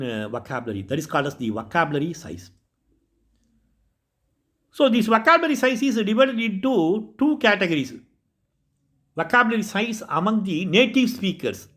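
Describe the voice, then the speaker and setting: High-voiced Tamil Indian man lecturing. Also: bright, forceful tone. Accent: Indian English, Tamil Nadu. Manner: repetitive, self-correcting, explanatory. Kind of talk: recorded classroom lecture.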